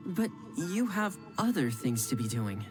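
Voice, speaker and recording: A man's voice: on the high side, big pitch swings, unhurried. A man voicing a loyal, easygoing retainer of a noble house who speaks in a friendly, dutiful way. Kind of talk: game voice acting. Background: game music.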